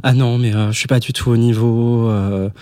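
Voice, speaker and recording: A man's voice: medium pitch, fairly even intonation, normal speed, warm tone, no room sound. A man around thirty hosting a podcast interview in a casual, conversational way. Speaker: podcast host.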